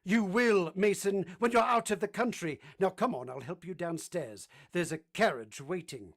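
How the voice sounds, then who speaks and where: An actor playing a brooding, commanding gentleman master of a manor in an old-time radio drama, abrupt and imperious. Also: high-pitched, moderate pace. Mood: weary, stern.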